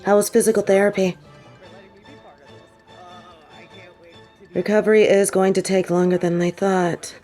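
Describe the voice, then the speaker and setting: A woman's voice: medium pitch, flat delivery, mellow, faint music behind, natural voice. A woman playing a sardonic, vengeful supervillain with a tender side for her partner, in an intimate audio roleplay. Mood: teasing, fond. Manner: teasing, sighing, halting when confessing.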